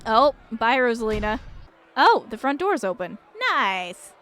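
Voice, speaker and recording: High voiced lady doing a casual lets play, pretty animated, some music going. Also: unhurried. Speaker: streamer playing a visual novel. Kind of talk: casual game playthrough with live reactions and read-aloud text.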